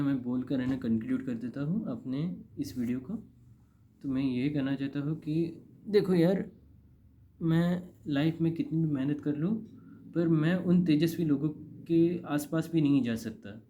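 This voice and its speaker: Indian guy in his 30s talking casually to camera, really mellow dark voice, kinda rambly, dull lo-fi audio. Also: fairly high pitch. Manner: rambling, repeats phrases for emphasis. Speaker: vlogger. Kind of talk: casual confessional monologue to camera. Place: indoor room.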